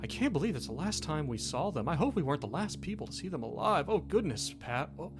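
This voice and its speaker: A man playing an innocent, worried older camper in a tabletop game, halting and folksy with small exclamations. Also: fairly high pitch, very animated, pitched above the performer's own voice. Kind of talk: improvised tabletop roleplay.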